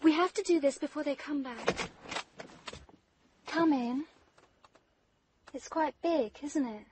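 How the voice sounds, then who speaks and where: A woman's voice: high-pitched, slow, noisy background. An actress playing an intense, deluded young woman with an insistent manner in a radio drama. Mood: urgent, secretive. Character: intense, deluded young woman, eerily sure of her lover.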